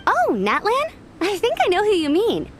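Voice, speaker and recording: High, bubbly young knight. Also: female voice, huge pitch swings, normal speed, slight background noise, exaggerated voice. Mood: chatty, excited. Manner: chatty, upbeat, eager. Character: bubbly, eager, friendly young female knight scout. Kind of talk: video game voice acting.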